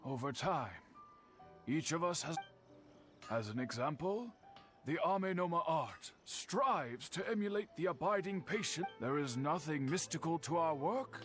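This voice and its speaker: A grave older master swordsmith in a video game. He speaks slowly and solemnly in a slightly high register, formal and humble, over faint music. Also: expressive, a bit bright.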